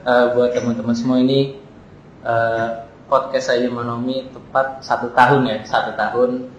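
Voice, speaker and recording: A man's voice: mid-range voice, flat delivery, normal speed. A university student in his twenties with a Jakarta accent, chatting as a podcast guest, laughing often and using many fillers. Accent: Jakarta Indonesian. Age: 20s. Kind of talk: casual chat. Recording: very echoey room, faint noise.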